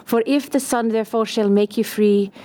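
A woman's voice: a little high, steady tone, moderate pace, warm tone. A woman from Scotland narrating a scripted personal testimony.

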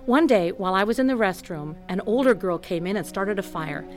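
A woman's voice: medium pitch, expressive, fairly quick, faint music behind, lightly acted. An actress narrating as a tough, candid woman recounting a troubled childhood in a scripted radio drama. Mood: matter-of-fact.

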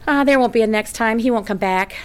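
A woman's voice: a little high, brisk, clean tone, natural voice. An actress playing a defiant, hurt girl of about eleven in a dramatised scene, protesting bluntly. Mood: guarded, sullen.

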